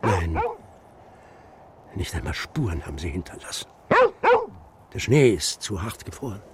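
A man's voice: deep voice, big pitch swings, unhurried, slightly rough, full character voice. An actor playing a stubborn old grandfather and shepherd in a children's radio drama, terse, grave and commanding. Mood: grim, urgent. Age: older.

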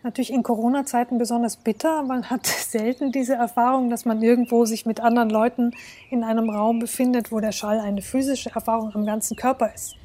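A woman's voice: a little high, level tone, fairly quick, mellow. A woman speaking reflectively in an interview, explaining things as a lecturer would.

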